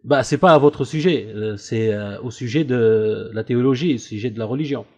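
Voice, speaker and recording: A man who hosts an online debate room, talking casually on a call and explaining things in a hesitant, halting way. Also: medium pitch, darkish tone. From Italy. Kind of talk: casual phone conversation. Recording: dull lo-fi recorded call.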